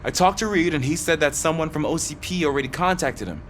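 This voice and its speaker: Casting: discouraged rookie policeman, lighter high-set voice, resigned, game cutscene. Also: expressive, fast, a bit bright, a little hiss or hum. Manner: self-deprecating, resigned. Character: dejected, self-deprecating aspiring male police officer. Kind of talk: video game cutscene acting.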